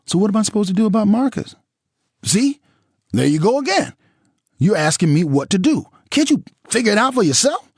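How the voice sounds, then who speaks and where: Tearful pleading woman, voiced by a male reader, husky tone, lively swings. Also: brisk, dry recording, studio quality, heavily acted. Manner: high, teary, apologetic. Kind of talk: audiobook character voice.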